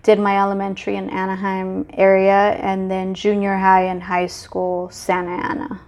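A middle-aged woman from Mexico, chatting as a guest in a casual interview, relaxed, with many fillers and restarts. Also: medium pitch, normal speed. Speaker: guest, business manager.

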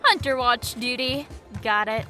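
An actress playing a relaxed, cheerful young woman among friends in an audio drama. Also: a little high, measured pace, faint music behind. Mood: breezy.

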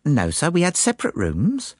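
A man voicing an excitable, gossipy young working-class English maid in an audiobook, chatty and breathless. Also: on the high side, moderate pace, no room sound, clean studio sound, pitched above the performer's own voice. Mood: chatty, confiding. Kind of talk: audiobook character voice.

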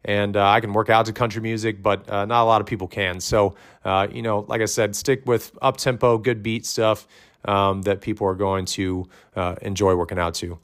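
A man with a lowish, loud voice giving relaxed podcast advice to fellow gym coaches. He rambles a little, with plenty of ums and uhs, and sounds like an experienced coach in his thirties. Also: normal speed. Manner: conversational, many fillers, says his voice is loud. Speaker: fitness coach. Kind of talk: instructional podcast talk.

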